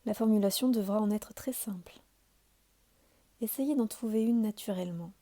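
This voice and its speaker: A woman leads a guided relaxation as a yoga instructor. Her voice is warm and mellow, sitting at a middle pitch. She delivers each instruction calmly and deliberately, leaving soothing pauses between phrases. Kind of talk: guided meditation, measured scripted instruction.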